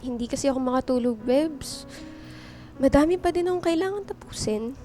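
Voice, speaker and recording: A strong, hardworking Filipino career woman in a radio drama. Her voice is high-pitched. Faint music runs behind her. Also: animated, a little fast, mellow. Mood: soft, affectionate. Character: strict, hardworking career woman, affectionate girlfriend.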